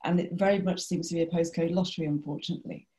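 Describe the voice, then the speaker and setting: A British woman with a low, mellow voice speaks over a video call as a doctor on a panel. Her delivery is even and flat, measured and explanatory, with frequent fillers and restarts. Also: rough recording. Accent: British English. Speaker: GP and charity panelist. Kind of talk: webinar panel discussion.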